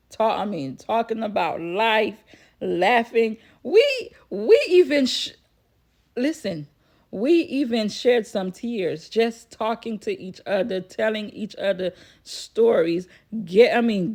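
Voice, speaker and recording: Slow-paced chatty woman vlogger. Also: mid-range voice, darkish tone. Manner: chatty, repeats phrases for emphasis. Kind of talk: casual personal storytelling to viewers.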